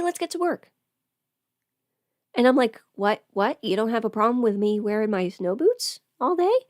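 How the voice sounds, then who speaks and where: A woman's voice: medium pitch, a little mellow, no room sound. Female livestreamer, mid-range voice, off-the-cuff and loosely rambling get-ready chat, mildly sweary, very dry sound. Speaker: livestream host. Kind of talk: casual livestream chat while doing makeup.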